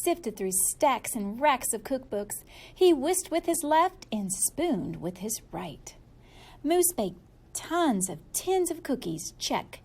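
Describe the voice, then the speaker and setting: Female storybook reader, very lively intonation, slow and playful delivery for young listeners. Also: high voice. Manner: animated, playful, drawn-out emphasis. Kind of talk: expressive children's story read-aloud.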